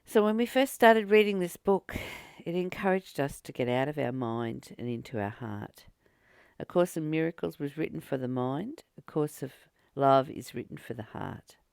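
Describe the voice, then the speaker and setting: A woman leading a spiritual book study, reading aloud and reflecting in a deliberate, emphatic manner with pauses and repeated phrases. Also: sits low, lively intonation, creaky, a little mellow, clear, resonant tone, no echo. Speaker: spiritual teacher and channel host.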